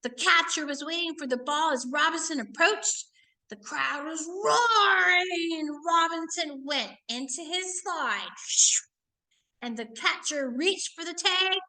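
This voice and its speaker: A woman reading a children's book aloud in an expressive, emphatic teaching manner with casual asides. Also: very high-pitched, slow, low-quality recording.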